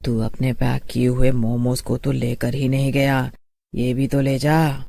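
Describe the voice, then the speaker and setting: A woman voicing a menacing witch food seller with a slow, taunting, sinister way of talking. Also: extremely low pitch, little pitch movement, vocal fry, warm tone, dry recording, studio quality, voice pushed down, heavily acted.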